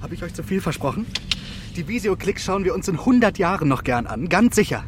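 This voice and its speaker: A middle-aged man playing an upbeat technocratic father in a children's radio play, brisk and a little pompous, with formal wording. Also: sits high, sing-song, noisy background. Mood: enthusiastic, pompous.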